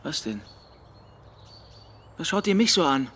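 Cocky young guy, high lively voice, flippant slacker in a radio drama. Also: measured pace, breathy edge, heavily acted. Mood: defensive agitation. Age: young adult. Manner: flippant, swaggering. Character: Jussi, cocky young male dropout and slacker. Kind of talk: naturalistic German radio drama acting.

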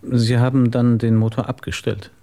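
An actor playing a businesslike police inspector in a German radio crime drama, calm, formal and questioning. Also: male voice, medium pitch, moderate pace, close to an everyday voice. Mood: calm, probing.

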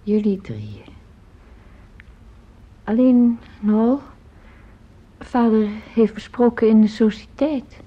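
Husky, gentle ailing mother. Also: a little high, takes it slowly, long pauses. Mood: wistful, fond. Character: gentle ailing older mother of grown children, downplaying her illness. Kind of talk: radio drama acting. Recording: dull lo-fi radio play recording.

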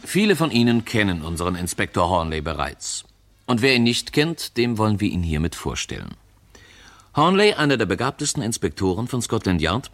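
An actor as a formal narrator, introducing a radio whodunit in measured 1960s German broadcast style. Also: male voice, fairly low pitch. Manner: measured, formal announcer delivery.

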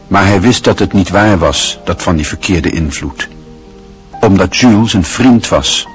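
A man narrating a literary radio drama in a measured, grave, reflective way. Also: low-pitched.

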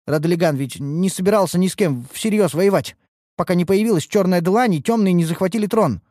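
A man voicing a meek, broken young warrior with a halting, downcast manner in a fantasy audiobook. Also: high-pitched, darkish tone, dry recording, clean studio sound, pitched above the performer's own voice. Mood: hollow, apologetic.

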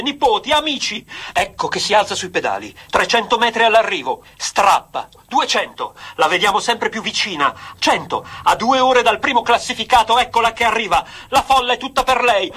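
An actor playing an excitable 1920s radio cycling commentator in a florid, emphatic, declamatory style. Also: male voice, very high-pitched, husky, full character voice. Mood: excited, urgent. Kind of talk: vintage sports radio commentary acting.

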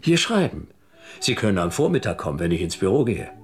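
An actor playing a worldly, detached married man, narrating and speaking in a radio drama with a husky voice. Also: sits low, very animated, fairly quick, soft background music. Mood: casual, inviting. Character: worldly, detached married man recounting his own story. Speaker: narrator and male lead. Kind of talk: first-person radio drama narration and dialogue.

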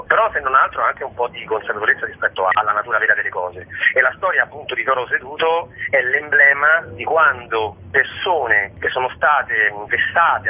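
Husky male storyteller on a phone line. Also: mid-range voice. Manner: discursive, expressive storyteller with rhetorical asides. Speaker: guest, stage actor and storyteller. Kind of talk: conversational radio interview. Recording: thin phone-line radio sound.

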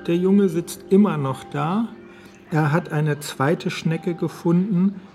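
A man's voice: a little high, takes it slowly, a little mellow. A man giving an observational narration in the present tense. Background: clear music.

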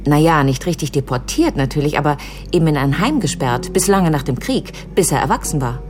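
A patient's great-niece in a radio play, with a very low female voice. She is inquisitive and thoughtful, feeling her way through questions, with music in the recording. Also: expressive, a little fast. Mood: probing, thoughtful. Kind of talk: radio drama dialogue.

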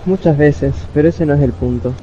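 An actor playing a gossipy, dry young school prefect with a mellow voice in an amateur radio play. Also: medium pitch, a little fast, faint music behind, close to an everyday voice. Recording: dull, faintly noisy home recording.

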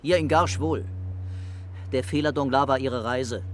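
An actor playing a blunt, exasperated French police commissioner in a German radio crime drama, speaking colloquially. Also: male voice, medium pitch, measured pace, a bit bright, a little hiss or hum, close to an everyday voice. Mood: skeptical, weary.

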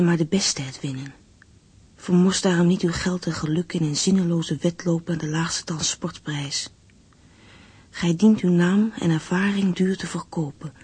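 An actress playing an earnest letter-writer in a radio drama, reading firm advice with breathy, measured urgency. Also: female voice, fairly low pitch, darkish tone, dry recording.

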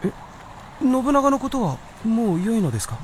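A woman's voice: on the high side, very animated, normal speed, a little hiss or hum. A woman voicing an earnest, polite young male fox spirit in a Japanese period radio drama, sincere and deferential. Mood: earnest, uncertain.

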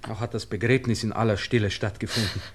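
Radio play role, for a male actor: uneasy young gentleman, slightly low and husky, hesitant, full of excuses. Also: fairly even intonation, quick pace, close to an everyday voice. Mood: defensive, flustered. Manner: hesitant, stammering, evasive. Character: awkward, guilt-stricken young gentleman making excuses. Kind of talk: radio drama acting.

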